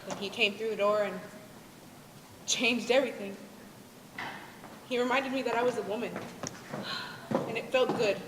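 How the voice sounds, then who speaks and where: A young woman acts a gentle, reflective older woman in a stage drama, lonely and wistful. The slightly high voice sits in a hall with clear noise. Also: fairly even intonation, moderate pace, pauses often, lightly acted. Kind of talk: stage play acting.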